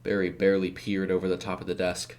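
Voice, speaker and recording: A man's voice: low-pitched, monotone, fast, darkish tone, slight background noise. A man reading a scripted horror story as narrator, in a dramatic storytelling style. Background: music.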